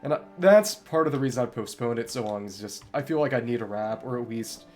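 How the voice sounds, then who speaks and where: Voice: mid-range voice, animated, brisk, a little mellow, faint music behind. A game streamer chatting casually over gameplay, thinking aloud in a wry way. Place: indoors at a computer.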